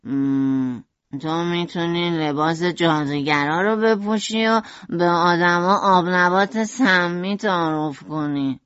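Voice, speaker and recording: A woman's voice: a bit bright, dry recording, lightly acted. Woman doing a morbid, brooding young man. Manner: low, brooding delivery. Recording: dull lo-fi audio.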